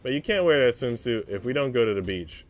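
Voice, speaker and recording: Guy reading a calm adult in a visual novel, teasing but gently firm, mid pitch, faint music. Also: fairly quick, lightly acted. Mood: reasoning. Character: calm, teasing grown-up reasoning with a child.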